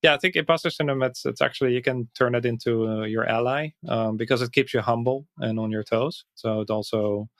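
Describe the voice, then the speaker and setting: A Dutch man, a native Dutch speaker, talking in English as a podcast guest, reflective and thinking aloud with soft laughs. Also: medium pitch, steady tone, fairly quick, hardly any pauses, no echo, clean studio sound. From Netherlands. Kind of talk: casual interview chat.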